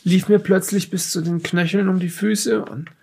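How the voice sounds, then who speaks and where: Guy acting as a rattled scientist in a horror audio play, higher husky voice, creaky, halting and defensive. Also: flat delivery, darkish tone. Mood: haunted, shaken. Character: frightened, defensive male scientist recounting occult horrors under questioning. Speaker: actor in amateur horror audio drama. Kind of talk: improvised audio drama dialogue.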